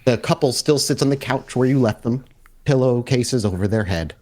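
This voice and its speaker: A man working as game master, narrating a tabletop roleplay in deliberate, cinematic phrases. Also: medium pitch, dark tone.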